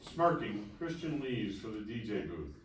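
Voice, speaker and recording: Low-voiced man reading stage directions, roomy sound. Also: very animated, unhurried. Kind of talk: scripted narration at a screenplay table read. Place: festival table read in a room. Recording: echoey room, distant mic, faint noise.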